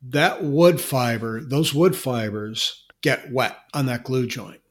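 Guy co-hosting a podcast, chatty, explains stuff with restarts, normal pitch. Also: slow. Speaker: podcast co-host answering listener questions. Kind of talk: casual podcast chat.

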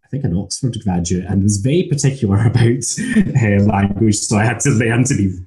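Scottish man, academic, very dark tone, lowish voice, measured, thoughtful, frequent pauses. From Scotland. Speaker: academic lecturer. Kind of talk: casual academic conversation.

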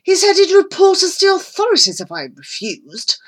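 A woman reading aloud and voicing a man who tries to calm an angry woman down. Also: very high voice, brisk, pitched above the performer's own voice. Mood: defensive. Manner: reasoning, calming. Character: shrugging, placating man.

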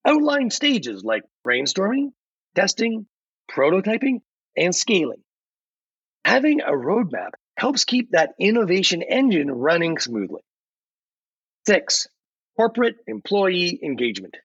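A man plays an upbeat AI advisor with a slightly high, husky voice that swings theatrically in pitch. The delivery is scripted, emphatic and folksy, like a podcast explainer, recorded dry and a little dull. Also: big pitch swings, unhurried, fairly bright tone, dry recording, full character voice. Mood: upbeat, instructive. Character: upbeat AI chatbot playing a folksy innovation advisor. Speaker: podcast guest voicing an AI.

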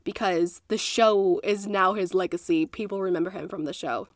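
A woman's voice: mid-range voice, normal speed, dry recording, clean studio sound. Congested woman chatting from a closet. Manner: chatty, playful storytelling with many fillers. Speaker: podcast co-host. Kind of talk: casual history chat. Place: small closet at home. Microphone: makeshift home setup.

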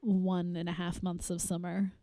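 A woman's voice: on the low side, level tone, normal speed, warm tone. A woman co-hosting a podcast in casual chat, chatty and laughing often. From Massachusetts, US. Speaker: podcast co-host.

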